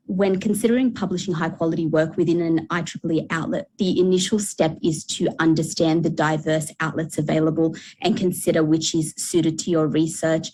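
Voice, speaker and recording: Low-voiced woman, formal prepared remarks. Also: darkish tone, slight background noise, rough recording. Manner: formal, reads from prepared text. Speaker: panelist and co-editor.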